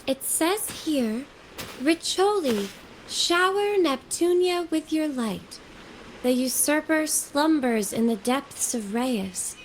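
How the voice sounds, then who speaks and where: An actress playing a gentle, earnest young scholarly devotee in a video game, speaking in a measured, explanatory way. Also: female voice, high voice, slight background noise, poor audio. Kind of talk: video game character acting.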